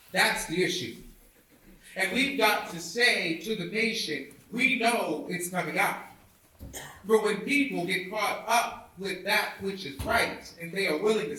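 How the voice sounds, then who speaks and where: Echoey, high-pitched man delivering a speech. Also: bright, forceful tone. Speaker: reader of a historic speech. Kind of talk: oratorical public speech reading. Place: indoor theatre, recorded from afar. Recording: echoey hall, distant mic.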